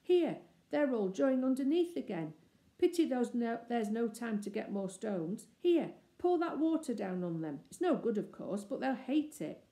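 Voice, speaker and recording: A brave, bloodthirsty young boy from a storybook, acted out by a woman. He is excited, boastful and bossy, with a raised, mellow voice in an echoey room. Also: a little high, moderate pace, voice pushed up. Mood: fierce, excited.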